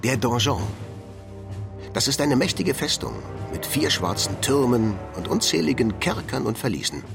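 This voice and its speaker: An actor playing a boastful but cowardly, babbling duck with dreams of heroism in a comic fantasy radio drama, theatrical in delivery. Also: male voice, mid-range voice, sing-song, normal speed, gravelly. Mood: grandiose. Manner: theatrical, stammering when pleading. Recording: music behind the voice.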